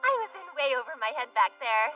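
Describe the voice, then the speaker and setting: A woman voicing a spirited, reckless teenage samurai schoolgirl in an animated fantasy, earnest and cheerful. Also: sits high, fast talker, far from the mic, dull audio, soft background music. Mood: sheepish, upbeat. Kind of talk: animated fantasy dub acting.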